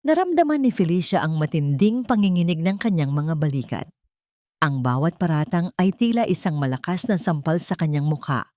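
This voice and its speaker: A woman's voice: low-pitched, lively intonation, normal speed, dark tone, dry recording, clean studio sound. A woman narrating a scripted audio drama in a solemn, literary way.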